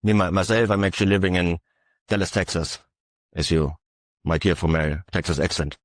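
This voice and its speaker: A man with a very low voice presents at an industry conference session. He speaks haltingly, stopping for frequent uhs. The sound is dry and close. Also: steady tone, no echo. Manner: halting, many filler uhs. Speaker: conference panelist.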